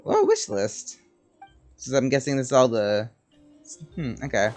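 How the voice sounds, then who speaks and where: A man's voice: mid-range voice, huge pitch swings, a little slow, a little mellow, faint music behind. A man giving casual gameplay commentary, laid-back and reacting in short asides. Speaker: gamer.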